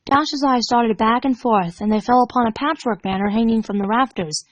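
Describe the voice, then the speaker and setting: A woman's voice: on the high side, moderate pace, dry recording, lo-fi sound. A woman narrating fan fiction in a dramatic storytelling style.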